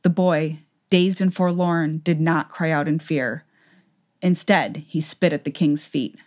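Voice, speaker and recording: Low-voiced woman narrating a story. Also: fairly even intonation, unhurried, a little mellow. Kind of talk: scripted dramatic audiobook narration.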